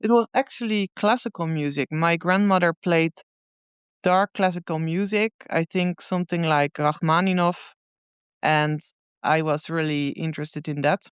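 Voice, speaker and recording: A woman in her thirties with a Dutch accent, answering interview questions in a thoughtful, unhurried way with many pauses. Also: fairly low pitch, smooth, dry recording. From Netherlands. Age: 30s. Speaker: guest musician.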